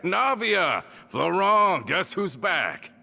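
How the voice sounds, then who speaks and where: A man's voice: high voice, very animated, extremely slow, vocal fry, pushed and bright, exaggerated voice. An actor playing a hearty, plain-spoken man from a gang, speaking informally and expressively in a video game. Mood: jovial. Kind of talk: video game character acting. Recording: music behind the voice.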